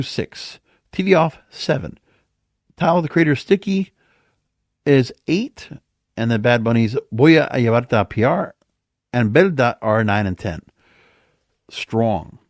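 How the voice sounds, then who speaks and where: A man's voice: medium pitch, big pitch swings, takes it slowly, long pauses, no room sound, studio quality. A man hosting a podcast, giving casual music chart commentary and thinking aloud as he reads out figures. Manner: off-the-cuff, thinking aloud while reading figures. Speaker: podcast host.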